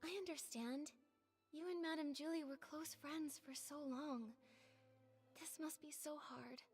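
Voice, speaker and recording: An actress voicing a gentle, earnest young adventurer in a video game, explaining things thoughtfully. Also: female voice, high-pitched, steady tone, measured pace, faint music behind. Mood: gentle, sympathetic.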